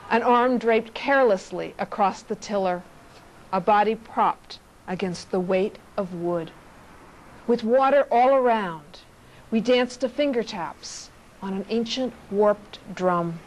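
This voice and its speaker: Slow, reflective woman reading poetry. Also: mid-range voice, darkish tone, poor audio. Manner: measured, reflective delivery with short phrases. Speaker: poet reading own work.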